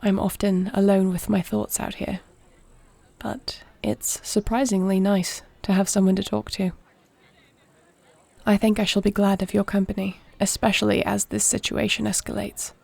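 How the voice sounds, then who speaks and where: Woman acting a low-key, creaky-voiced warrior princess. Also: level tone, a little mellow, no echo. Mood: warm, sincere. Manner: formal, measured, tender diction. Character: gentle, dignified warrior princess and shapeshifting commander, softly confiding. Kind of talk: intimate fantasy audio roleplay.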